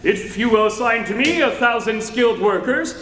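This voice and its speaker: An actor playing a grave, impassioned alien scientist giving dire warnings in an old radio-drama style. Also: male voice, very high voice, lively intonation, moderate pace, a bit bright, a little hiss or hum. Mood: grave, insistent. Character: grave, impassioned male scientist of a doomed planet. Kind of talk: 1940s-style radio acting. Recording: echoey hall.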